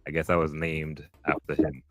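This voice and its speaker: Very deep-voiced podcast host. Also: male voice, normal speed, no echo. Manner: relaxed, loose with fillers and restarts. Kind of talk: casual interview. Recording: dry close mic, faint music.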